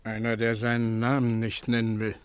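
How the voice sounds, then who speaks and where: A man's voice: medium pitch, completely flat delivery, moderate pace, smooth, no room sound, lightly acted. A man playing an earnest, idealistic, well-dressed conspirator in a radio play, speaking with urgency. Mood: earnest, secretive. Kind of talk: 1950s German radio play acting.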